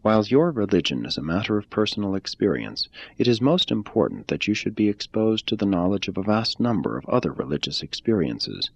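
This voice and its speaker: Deep, husky man reading a religious text. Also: very animated, a little fast, few pauses, dry recording. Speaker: reader.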